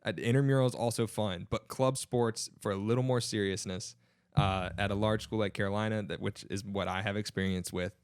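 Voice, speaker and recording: A young man with a fairly low voice talks casually among friends as a podcast co-host. The sound is dry and close, with no room reflections. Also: steady tone, brisk, smooth, dry recording. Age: young adult. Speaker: podcast co-host and college club tennis player.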